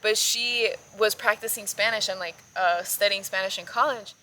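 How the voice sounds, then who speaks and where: A woman's voice: mid-range voice, steady tone, normal speed, pushed and bright, a little hiss or hum, rough recording. A young adult woman from South Florida, a naturalist guest telling stories in a casual, chatty way with lots of "like.". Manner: chatty, filler-heavy, many "like"s. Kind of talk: casual conversational storytelling.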